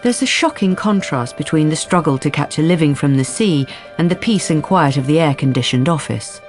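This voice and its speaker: Female narrator, lowish pitch, scripted drama storytelling, music underneath. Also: animated, a little fast. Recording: music behind the voice.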